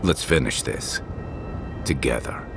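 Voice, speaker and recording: An actor voicing a steadfast, battle-worn warrior companion in a fantasy video game, grave and stoic. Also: male voice, rumbling low, measured pace, vocal fry, exaggerated voice. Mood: determined. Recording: voice under very loud music.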